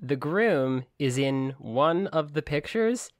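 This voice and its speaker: A man's voice: fairly high pitch, lively intonation, takes it slowly, no echo, clean studio sound. A man co-hosting a book-club podcast in casual, joking chat. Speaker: podcast co-host.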